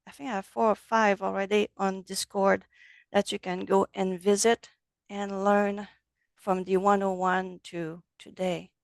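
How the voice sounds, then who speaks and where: A woman with a French accent, a native French speaker, teaching a relaxed online software class over a video call. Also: medium pitch, a little slow, gravelly, dry recording. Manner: friendly, loose, switches between English and French. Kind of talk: casual online class walkthrough.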